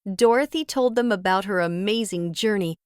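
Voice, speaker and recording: A woman's voice: mid-range voice, moderate pace, smooth, no room sound, clean studio sound. A woman narrating a children's storybook as a scripted read-aloud.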